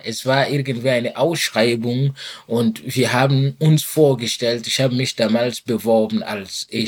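Slow, hesitant man. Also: mid-range voice. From Burkina Faso. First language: Mooré. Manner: slow, hesitant, many "äh" fillers. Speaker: musician guest. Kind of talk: interview answers.

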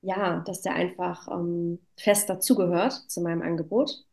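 A woman's voice: lowish voice, steady tone, moderate pace, vocal fry, darkish tone. Reflective woman chatting as an interview guest. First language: German. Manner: reflective, filler-laden with frequent "ne" tags. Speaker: interview guest, self-employed business owner. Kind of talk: casual conversational interview. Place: at home.

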